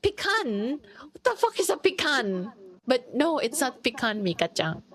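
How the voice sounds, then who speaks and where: A woman's voice: high voice, very animated, unhurried. A woman co-hosting a casual podcast chat, playful and self-mocking, with frequent laughs. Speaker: podcast co-host.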